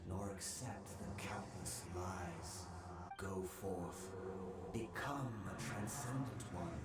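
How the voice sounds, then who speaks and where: An actor voicing a mysterious disembodied sinner in a video game, solemn, sorrowful and portentous, with an echoing effect. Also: male voice, sits low, heavy background noise. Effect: heavy echoing reverb. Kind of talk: grandiose video game monologue. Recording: echoing distant voice over loud ambience.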